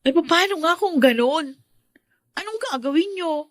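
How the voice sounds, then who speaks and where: An anxious, pleading daughter. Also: female voice, very high voice, lively intonation, measured pace, a bit bright, no room sound, clean studio sound, heavily acted. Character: worried young daughter. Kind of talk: radio drama acting.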